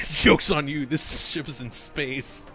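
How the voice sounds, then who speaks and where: A man's voice: on the high side, lively intonation, takes it slowly, over music. A man giving casual, joking commentary, often chuckling through his remarks. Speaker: co-host commenting while playing a game.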